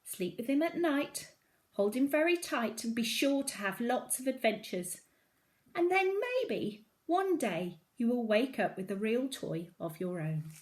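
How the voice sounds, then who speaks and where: Self-assured little girl for a storybook, played by a woman reader: firm, matter-of-fact, high and animated. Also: unhurried. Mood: stern, advising. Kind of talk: children's storybook read-aloud.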